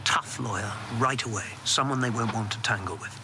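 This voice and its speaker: An actor playing a worried, discreet government confidant in a measured, understated radio drama style. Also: male voice, fairly low pitch, noisy background, natural voice. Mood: practical. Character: Lewis, worried, principled male government confidant, discreet and pragmatic. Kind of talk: naturalistic radio drama acting.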